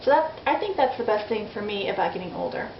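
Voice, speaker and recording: A woman's voice: medium pitch, lively intonation, fast. A woman in her forties chatting candidly in a casual video diary, self-deprecating and frank. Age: 40s. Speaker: vlogger. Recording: dull lo-fi audio in an echoey room with faint noise.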